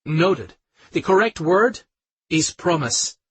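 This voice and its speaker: A man voicing a brisk, ambitious female executive colleague who talks in a pushy, demanding way. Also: lowish voice, expressive, slightly rough, pitched above the performer's own voice, exaggerated voice.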